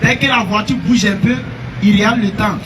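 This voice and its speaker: Male street preacher, high voice, slow and halting, distant mic, heavy traffic behind. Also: steady tone. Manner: slow, halting exhortation with everyday examples.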